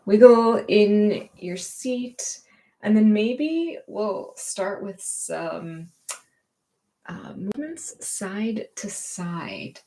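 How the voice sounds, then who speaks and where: A woman teaching gentle movement and meditation in a reassuring, permissive, unhurried manner. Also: mid-range voice.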